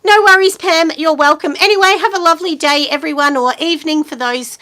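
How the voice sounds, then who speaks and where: A woman in her forties with a husky voice, hosting a relaxed live stream from home. Her voice sits high and has a bright, forward edge. She chats encouragingly and casually, scattering ums and you-knows through her advice. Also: fairly quick. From Australia. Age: 40s. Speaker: small business owner hosting a live chat.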